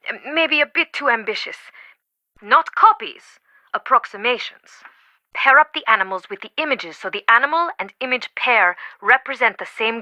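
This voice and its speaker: An actress playing an earnest, overwhelmed museum curator in scripted video game dialogue. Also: female voice, on the high side, sharp tone, no room sound, poor audio. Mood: instructive. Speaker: video game character voice actor.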